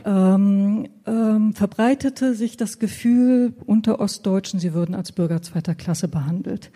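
A woman moderates a live talk before an audience. Her voice is mellow and warm, and her questions come out polite and measured, with the odd hesitant filler. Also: mid-range voice. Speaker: moderator and interviewer. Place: public event in front of an audience.

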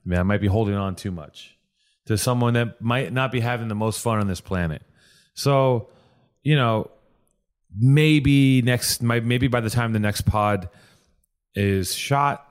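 A man hosting a casual podcast chat, rambling and restarting his sentences often, on a podcast microphone. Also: on the low side. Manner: rambling, with many restarts and repeated words. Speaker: podcast host.

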